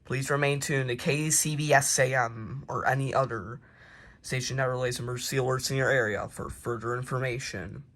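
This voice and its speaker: Male, mid-pitched, amateur mock-broadcast announcer; halting, casual delivery with self-corrections. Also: steady tone, a bit bright, a little hiss or hum. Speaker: narrator of a homemade emergency alert scenario.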